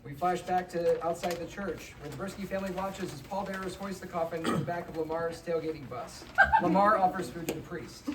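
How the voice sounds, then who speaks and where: A woman's voice: on the low side, a little fast, heavy background noise. A woman reading scripted stage directions at a live comedy table read. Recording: live room, loud audience, distant mic.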